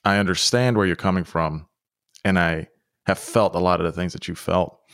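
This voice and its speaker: A man in his thirties hosting an interview, relaxed and conversational, with lots of filler words. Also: low voice, moderate pace, no room sound, clean studio sound. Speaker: podcast host. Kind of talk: casual interview.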